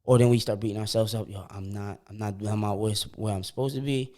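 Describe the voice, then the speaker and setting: A man's voice: fairly low pitch, quick pace. Slangy young man telling stories animatedly. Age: 20s. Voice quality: repeats words when starting sentences. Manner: animated storytelling, slangy, often acts out quotes. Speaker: podcast co-host. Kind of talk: casual chat.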